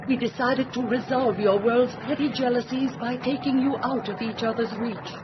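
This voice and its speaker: A woman playing a calm, solemn alien emissary in a radio drama, speaking in a formal, measured, lecturing way. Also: fairly high pitch, natural voice. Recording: dull noisy old radio-drama recording.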